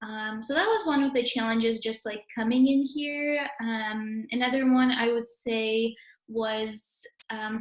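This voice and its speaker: A young woman in her twenties who grew up in Russia and speaks Russian natively gives casual interview answers. Her voice sits a little high for a woman. The recording is noticeably roomy and echoey. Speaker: interviewee, university student.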